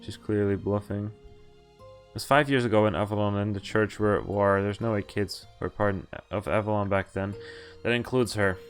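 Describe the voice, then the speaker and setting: A man streaming a visual novel, reading the game's text aloud and breaking off for quick casual reactions and chuckles. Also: on the low side, steady tone. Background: faint game music.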